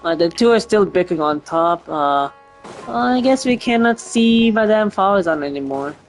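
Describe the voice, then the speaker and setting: A man's voice: sits high, animated, normal speed, faint music behind. A man giving casual, slangy, reactive commentary as a game streamer.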